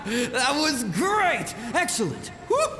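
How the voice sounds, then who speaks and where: A man's voice: extremely high pitch, huge pitch swings, slow pace, sharp tone, full character voice. A man voicing a boisterous, battle-loving swordsman spirit in an anime game, hearty and exclamatory. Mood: elated. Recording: echoey, faint background noise.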